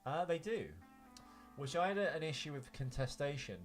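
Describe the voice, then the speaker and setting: A man's voice: a little high, normal speed, soft background music. A man co-hosting a podcast, chatting casually and giving opinionated, rambling takes on films. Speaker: podcast co-host. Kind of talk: casual banter and film commentary.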